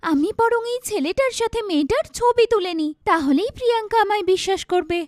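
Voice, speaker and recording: Lady doing a sweet patient little-sister type, young woman, high earnest cartoon voice, dry sound. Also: animated, brisk, no room sound. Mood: worried. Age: young adult. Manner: gentle, earnest, forgiving. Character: kind, patient, self-effacing young sister. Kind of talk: cartoon dialogue.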